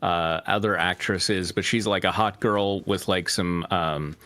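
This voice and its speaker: A man chatting casually with a group as a show co-host, rambling and halting as he goes. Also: deep voice, steady tone, pushed and bright, no room sound. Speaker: co-host of a fanfiction reading show.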